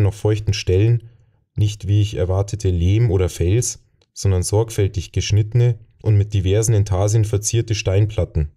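Man, very dark mellow tone, low pitch, scripted audiobook narration. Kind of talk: scripted fantasy novel narration, first person.

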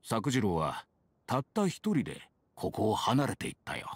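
Regretful old father, expressive pitch. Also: normal speed, breathy edge, dry recording, full character voice. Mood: wistful, grave. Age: older. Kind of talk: anime game voice acting.

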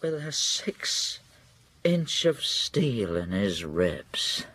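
An actor playing a tormented, raving deserter soldier in a radio drama, with a manner that veers between whispers and cries. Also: male voice, fairly low pitch, sing-song, extremely slow, bright, forceful tone, slightly rough, exaggerated voice. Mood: defensive confession. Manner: feverish, swinging from whispers to cries.

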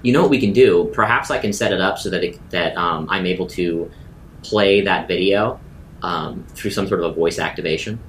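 A man's voice: normal speed, fairly bright tone. A man chatting casually as he plans moves as a player in a tabletop game. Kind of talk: casual in-game planning chat. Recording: faint rumbling noise.

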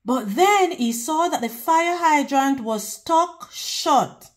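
Female children's read-aloud host, slightly high pitch, unhurried teacherly delivery. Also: animated. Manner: teacherly, prompting children with questions. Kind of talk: children's picture book read-aloud with questions to listeners.

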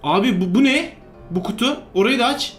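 A gamer giving casual, excitable co-op commentary, often exclaiming and repeating words. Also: mid-range voice, fairly quick. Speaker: gaming video host. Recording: roomy echo, music behind.

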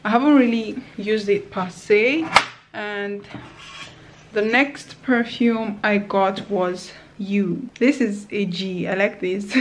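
A woman's voice: mid-range voice, slight background noise. A young woman in her twenties chatting casually to camera in a vlog, often laughing and talking straight to her viewers. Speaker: vlogger. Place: apartment indoors, later outdoors in a city. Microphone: handheld camera at arm's length.

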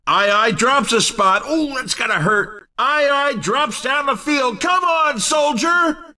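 A man voicing an excitable, cheeky racing commentator in a video game, with punchy, exclamatory, theatrical delivery. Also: very high-pitched, distant mic, exaggerated voice. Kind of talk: excited sports-style race commentary.

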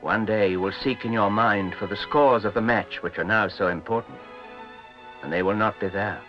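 A man giving poetic scripted narration in a measured, literary delivery. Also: fairly low pitch. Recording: muffled old film soundtrack with music. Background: music.

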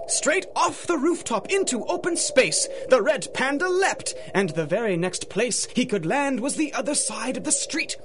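A man's voice: extremely high pitch, sing-song, normal speed, hardly any pauses, noisy background. A man giving theatrical, dramatic narration in rhyming storybook verse for a radio drama.

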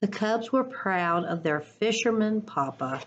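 Slow, husky woman reading a children's story. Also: mid-range voice, muffled recording. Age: middle-aged. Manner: deliberate, expressive storytelling. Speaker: school educator reading to children. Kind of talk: children's story read-aloud. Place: living room at home. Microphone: computer webcam at arm's length.

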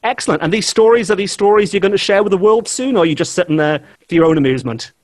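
Higher-pitched man hosting a podcast. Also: expressive, racing. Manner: informal, self-deprecating. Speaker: podcast host. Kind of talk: casual chatty podcast talk.

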